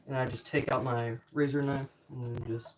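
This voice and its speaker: Guy streaming a diy build, kinda muttering and grumbling wryly, mid pitch, mic far away. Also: flat delivery, normal speed. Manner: muttering to himself while working. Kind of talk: casual livestream chat while working. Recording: distant mic with background noise, clicking.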